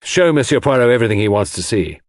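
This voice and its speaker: A man voicing a weary, anxious upper-class country gentleman in an audiobook, polite and hesitant. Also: medium pitch, animated, fast talker, no echo, pitched above the performer's own voice, close to an everyday voice. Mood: weary, worried. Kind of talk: audiobook character acting.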